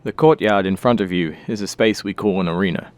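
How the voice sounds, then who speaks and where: A man with a low, clean and resonant voice reads scripted developer commentary. He is recorded very dry and close, explaining design decisions as a game designer. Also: fairly quick, a little mellow, dry recording.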